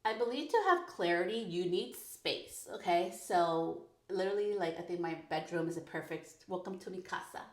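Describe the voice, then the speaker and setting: A woman in her thirties hosting a personal podcast, talking frankly and casually, full of "like" and quick laughs. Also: fairly low pitch. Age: 30s. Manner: chatty, frank, peppered with "like", laughs often. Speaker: podcast host. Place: her bedroom recording corner. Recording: echoey home room.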